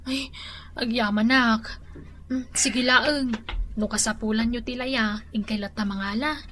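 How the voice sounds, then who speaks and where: A woman's voice: on the high side, steady tone, a little slow, pushed and bright, a little hiss or hum. An actress playing the old farmer's caring daughter who soothes and nags him about his health. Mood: polite.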